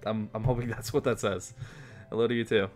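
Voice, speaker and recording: A man's voice: on the low side, steady tone, brisk, soft background music. Hesitant man chatting on a game stream. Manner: hesitant, polite, frequent restarts. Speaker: streamer playing a strategy game. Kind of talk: casual multiplayer voice chat.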